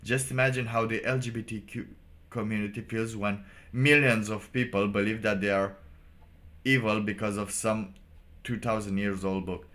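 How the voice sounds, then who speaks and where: A man hosting a casual solo livestream, giving sardonic commentary in a halting way and repeating phrases for emphasis. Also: lowish voice, steady tone, fairly bright tone. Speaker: livestream host.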